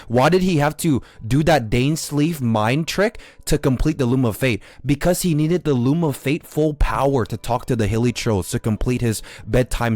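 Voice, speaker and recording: A man's voice: mid-range voice, darkish tone, dry recording. Man arguing theories on a dry-sounding stream. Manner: argumentative, repetitive, swears casually. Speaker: game live streamer. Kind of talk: unscripted gaming stream commentary.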